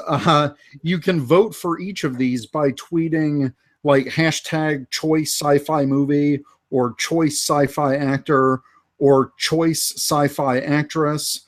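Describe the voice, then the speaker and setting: A man co-hosting a live fan podcast, casually going through toy and movie news with many pauses and fillers. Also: on the high side, fairly even intonation. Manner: unhurried, lots of uh fillers. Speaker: podcast co-host.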